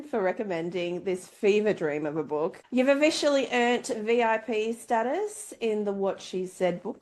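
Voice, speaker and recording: A woman's voice: medium pitch, a little mellow. A woman hosting a book club podcast, chatting casually and playfully, sometimes reading from a script. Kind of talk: casual book review chat with scripted sign-off.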